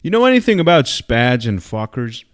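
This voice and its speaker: A man's voice: mid-range voice, sing-song, normal speed, natural voice. A man voicing a bitter, wary male petty thief in a story reading, with terse, guarded, slangy talk. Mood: curious.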